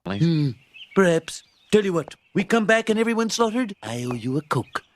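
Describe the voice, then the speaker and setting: Sardonic man, high-ish lively voice, car noise loud behind. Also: normal speed. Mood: wry. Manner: dry, sardonic. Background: car engine and power windows.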